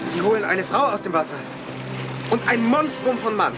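An actor playing an alert river police lieutenant on a boat in a German audio thriller. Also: male voice, sits high, lively intonation, normal speed. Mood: urgent. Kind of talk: German audio drama acting. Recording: loud music under the voice.

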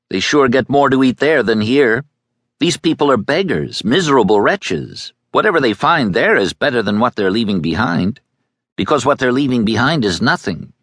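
A man's voice: on the low side, fairly bright tone, no echo, studio quality, pitched above the performer's own voice. A man voicing a streetwise young boy who lectures with brash certainty.

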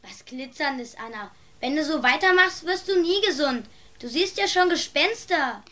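A woman's voice: sits high, expressive, moderate pace, a bit bright, no room sound. A woman playing a matter-of-fact, teasing young brother in a children's audio play. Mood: annoyed, skeptical.

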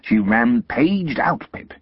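A man voicing a gentle, simple village blacksmith in a storybook reading, slow and homely. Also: medium pitch, lively intonation, slightly rough, dry recording, pitched above the performer's own voice. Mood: mild. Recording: muffled lo-fi recording.

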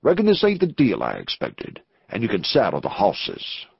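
A man voicing a lean, gruff outlaw gang leader in a folksy Western drawl, as in a storybook. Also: lowish voice, wildly swooping pitch, normal speed, no room sound, highs cut off, pitched below the performer's own voice, exaggerated voice. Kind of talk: Western novel dialogue.

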